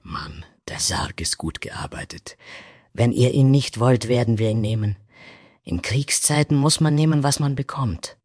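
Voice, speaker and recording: A man voicing a direct, practical female soldier in a fantasy audio story, with a husky voice. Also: medium pitch, animated, measured pace, darkish tone, no echo, clean studio sound, voice pushed up. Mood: firm. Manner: direct, matter-of-fact.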